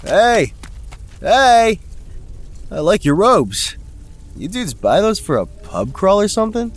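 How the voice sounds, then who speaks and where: An actor playing a flippant, self-serving young male vlogger in a comedic horror audio drama, slangy, excitable and sarcastic. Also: high-pitched, slow, faint music behind, heavily acted. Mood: casual, alarmed.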